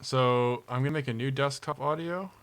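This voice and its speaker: A man's voice: medium pitch, normal speed, fairly bright tone, smooth. A man hosting a charity livestream in casual chat, flustered and laughing as he troubleshoots his audio aloud.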